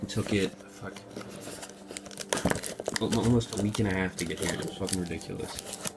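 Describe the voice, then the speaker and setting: A young man's lowish voice, a bit rough from being sick with a sore throat. He talks casually to the camera while unboxing at a table indoors, swearing and grumbling. Music plays clearly underneath. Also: normal speed. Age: young adult. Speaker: vlogger.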